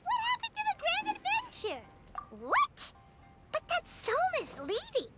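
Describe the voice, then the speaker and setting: A woman voicing a tiny excitable childlike fairy companion in a video game, squeaky and bubbly. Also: measured pace, exaggerated voice. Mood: indignant surprise. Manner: bubbly, exclaims, refers to herself by name. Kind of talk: video game character dialogue. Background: background music.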